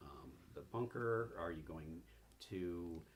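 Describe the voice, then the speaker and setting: A man acting as game master, narrating a tabletop game session in a halting way, searching for words. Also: sits low, lively intonation, slight background noise.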